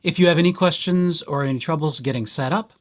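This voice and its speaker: A man presenting a casual software tutorial as a library host, with playful, wry asides. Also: a little high, fast talker. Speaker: library presenter hosting a digital services tutorial.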